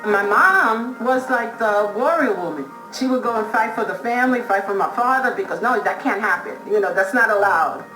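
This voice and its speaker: A woman's voice: medium pitch, normal speed, hardly any pauses, bright, forceful tone. A woman vividly recounting a family story in a documentary interview. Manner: vivid storyteller, acts out quotes. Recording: roomy sound with music behind.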